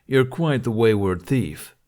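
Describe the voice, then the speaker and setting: A man voicing a smug, long-suffering Viking mercenary leader in an audiobook, measured and condescending. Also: mid-range voice, lively intonation, darkish tone, close to an everyday voice. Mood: weary, smug.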